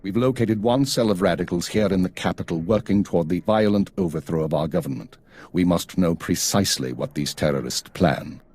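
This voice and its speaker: An actor playing a stern, weary intelligence chief in a video game, formal, clipped and measured. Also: male voice, low voice, a little mellow, husky. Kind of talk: video game cutscene acting.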